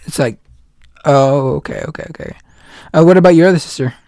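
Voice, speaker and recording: A man's voice: fairly high pitch, brisk, mellow, dry recording, clean studio sound. A man in his late twenties hosting a casual podcast chat, rambling along with lots of "like" and retold quotes. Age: 20s. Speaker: podcast host.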